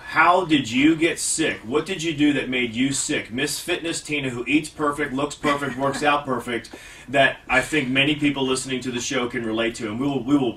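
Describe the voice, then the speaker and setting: A man's voice: mid-range voice, pushed and bright, recorded from a distance, poor audio. Rambling man hosting a radio fitness show. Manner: rambling, self-mocking, restarts often. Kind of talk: casual radio talk. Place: radio studio.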